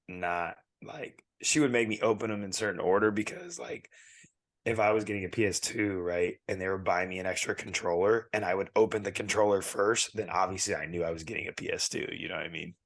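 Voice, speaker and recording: Low-voiced man, throat going hoarse. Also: fairly quick, no echo. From California. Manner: rambling, animated storyteller. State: losing his voice, clearing his throat. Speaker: podcast co-host. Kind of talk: casual podcast chat.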